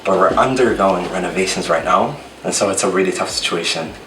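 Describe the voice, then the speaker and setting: A man's voice: low voice, fairly quick, noisy background. A young man, a student presenter, chatting casually and playfully. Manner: chatty, playful, self-mocking. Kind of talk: casual vlog outro. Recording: roomy, from a distance, music behind.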